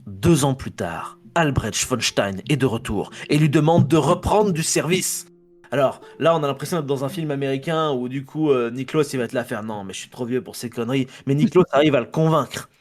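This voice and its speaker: A man's voice: mid-range voice, a little fast, faint music behind. A French man presenting a history segment on a casual podcast. He reads from his notes, stumbles over foreign names and jokes about his own slips.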